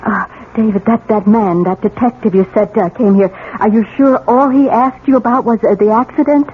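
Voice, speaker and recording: A woman's voice: a little high, fairly even intonation, moderate pace, lightly acted. An actress playing a troubled, determined middle-aged American mother, hesitant yet insistent, in a 1970s radio drama. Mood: uneasy, hesitant. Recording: tinny narrow-band old radio, faint hiss.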